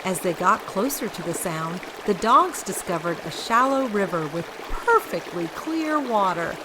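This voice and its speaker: A woman reading a story aloud for children as host, expressive and speaking directly to young listeners. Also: mid-range voice, unhurried, noisy background.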